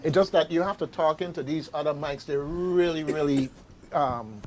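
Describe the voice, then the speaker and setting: A man's voice: on the high side, normal speed, noisy background. A man hosting a radio show with casual, unscripted talk. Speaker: radio host. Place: radio studio.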